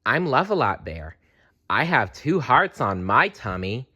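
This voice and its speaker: Man playing a caring storybook bear, cheerful, lively intonation, unhurried. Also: medium pitch, heavily acted. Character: friendly, caring storybook bears introducing themselves.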